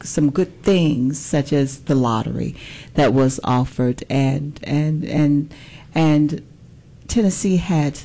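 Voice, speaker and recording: Low, mellow Southern woman in her sixties. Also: takes it slowly. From Birmingham, Alabama. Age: 60s. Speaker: political candidate, interview guest. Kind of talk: conversational interview answers.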